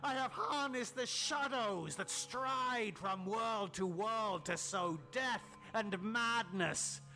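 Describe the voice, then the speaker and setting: A crazed, hateful male scientist from a horror story. He speaks slowly in a high, strained voice, savouring every taunt with theatrical menace. Music plays behind him. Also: heavily acted, low-quality recording. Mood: raving, grandiose. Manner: theatrical, taunting, drawn-out words, shouted outbursts. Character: mad, gloating male scientist raving in hatred. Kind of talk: dramatic horror audiobook character reading.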